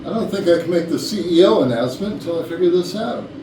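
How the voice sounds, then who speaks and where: A man's voice: sits high, expressive, fast. Echoey, distant man acting an anxious company boss. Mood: worried, suspicious. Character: anxious male company boss near retirement, bossy and suspicious. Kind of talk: staged reading of a murder mystery comedy. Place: rehearsal room. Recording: noisy echoey room, distant mic.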